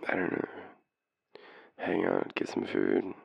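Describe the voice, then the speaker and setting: A man acting a very deep, gravelly tipsy Australian. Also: sing-song, no echo, muffled recording, heavily acted. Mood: shy, playful. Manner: hesitant, rambling, halting, breathy chuckles. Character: tipsy, shy, lovestruck young man phoning his crush. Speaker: voice actor in boyfriend roleplay. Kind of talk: intimate audio roleplay, one-sided phone call.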